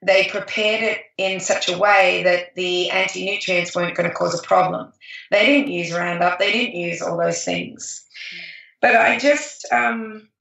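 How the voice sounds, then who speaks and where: A woman's voice: fairly low pitch, steady tone, a little slow, vocal fry, a bit bright, distant mic, highs cut off. A woman co-hosting a podcast, talking enthusiastically in a rambling way with many restarts. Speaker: podcast co-host. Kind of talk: casual chat. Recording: echoey room, voice off-mic.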